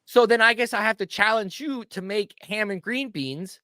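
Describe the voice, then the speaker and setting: Man, host, animated, bright voice, dry sound, playful questions. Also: high voice, normal speed, dry recording. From Pennsylvania. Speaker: channel host. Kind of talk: casual chat.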